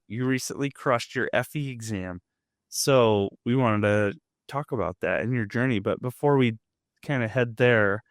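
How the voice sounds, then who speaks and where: A man hosting a podcast, hoarse and losing his voice, chatting upbeat and laughing at himself. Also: medium pitch, no room sound, clean studio sound. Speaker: podcast host. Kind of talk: casual podcast chat.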